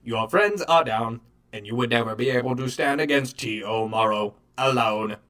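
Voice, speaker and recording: A man's voice: mid-range voice, normal speed, a bit bright, lightly acted. A man voicing an arrogant, menacing android villain in a superhero radio drama, speaking formally and pompously. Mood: contemptuous. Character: cold, arrogant male villain android serving evil master.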